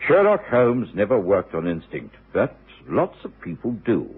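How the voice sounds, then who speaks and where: Deep, gravelly British gentleman storyteller. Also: wildly swooping pitch, measured pace, exaggerated voice. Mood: confiding. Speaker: narrator and supporting lead. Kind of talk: dramatic radio narration. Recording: narrow-band old radio recording, faint music.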